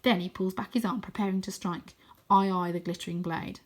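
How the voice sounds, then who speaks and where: A woman's voice: fairly low pitch, steady tone, brisk. Woman reading a children's story expressively. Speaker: storybook reader. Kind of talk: expressive children's audiobook narration.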